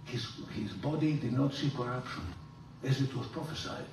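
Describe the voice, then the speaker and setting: A man's voice: normal speed, background noise. Older male priest, emphatic lecture, roomy echo, heard from afar. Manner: animated, gesturing, emphatic rises in pitch. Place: large room with hard walls. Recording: roomy hall echo, from far off.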